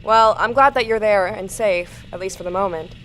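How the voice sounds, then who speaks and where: A woman's voice: medium pitch, normal speed, fairly bright tone, faint music behind, lightly acted, low-quality recording. An actress playing a weary, shaken woman survivor in an apocalyptic audio drama, with a blunt, wry way of talking.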